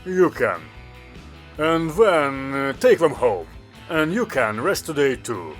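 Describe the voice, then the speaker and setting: A man's voice: mid-range voice, big pitch swings, slow pace, soft background music. A man voicing a curt weary commander. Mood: commanding. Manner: curt orders, dry sarcasm. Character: gruff weary male commander.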